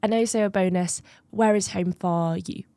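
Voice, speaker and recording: A young woman hosting a casual student interview, asking brisk friendly questions. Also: medium pitch, fairly even intonation, warm tone, dry recording. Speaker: student host.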